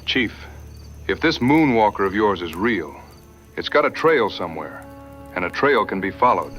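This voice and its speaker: A man plays a determined frontier tracker in a radio play. The voice is low-pitched and forceful, folksy and plain-spoken, with music underneath. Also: sing-song, moderate pace. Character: resolute frontier hunter and tracker, plain-spoken folk hero. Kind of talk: audio drama acting. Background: music and night crickets.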